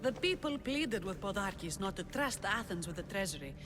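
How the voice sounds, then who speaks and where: An actress playing Kira, a fierce, sharp-tongued rebel leader in a game cutscene. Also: female voice, medium pitch, big pitch swings, a little fast, over music, close to an everyday voice. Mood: urgent. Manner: sharp, teasing, commanding. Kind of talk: video game cutscene acting.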